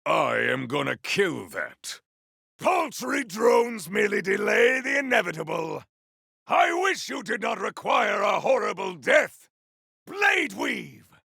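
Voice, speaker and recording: A man voicing a righteous, grandiose game warrior on a holy quest, with a gravelly, forceful voice and archaic, theatrical declamation. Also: high-pitched, big pitch swings, takes it slowly, dry recording, studio quality, full character voice. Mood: solemn, musing. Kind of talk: video game combat voice lines.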